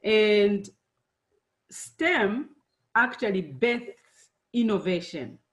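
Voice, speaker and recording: A middle-aged woman born and raised in Zambia, giving a friendly, unhurried presentation to students, with many fillers. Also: mid-range voice, animated. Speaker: engineer giving a talk to students.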